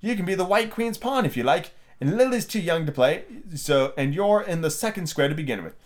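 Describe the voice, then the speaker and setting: Male reader as imperious storybook queen, brisk and bossy, raised pitch, swooping intonation. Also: expressive, close to an everyday voice. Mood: pleasant, instructing. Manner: imperious, instructing. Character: brisk, bossy, hurrying chess queen, adult woman.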